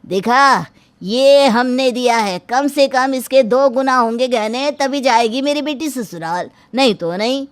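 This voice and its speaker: A woman's voice: high voice, measured pace. A woman playing a proud, stubborn father of a bride in a dramatized moral story, speaking assertively. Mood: indignant, boastful.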